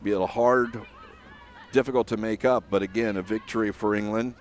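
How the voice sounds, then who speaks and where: A man giving live play-by-play commentary on a soccer match, steady and filler-heavy with frequent pauses. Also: fairly low pitch, slight background noise.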